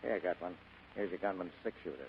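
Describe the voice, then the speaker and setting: An actor playing a tough, wisecracking American private detective in old radio drama style, with clipped, insolent, slangy delivery. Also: male voice, deep voice, fast. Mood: cool, sardonic. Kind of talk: 1940s-style radio acting. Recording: tinny, noisy old radio recording.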